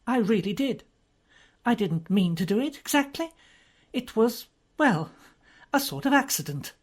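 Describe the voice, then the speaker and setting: A woman's voice: fairly high pitch, very animated, a little slow, darkish tone, husky, pitched above the performer's own voice, full character voice. A woman voicing a distressed young aristocratic woman who speaks in a faltering, pleading way.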